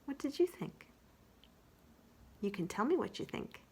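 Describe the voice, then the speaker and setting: Unhurried woman with a very lively pitch. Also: breathy edge. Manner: animated, unhurried storytelling. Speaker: children's librarian storyteller. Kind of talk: casual talk to young children, introducing and reading a storybook.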